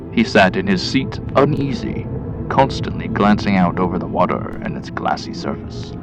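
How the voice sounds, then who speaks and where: A man's voice: deep voice, muffled recording. A man narrating a scripted horror tale in the vein of 1920s radio, with dramatic, ominous delivery. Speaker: storyteller, podcast host. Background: music.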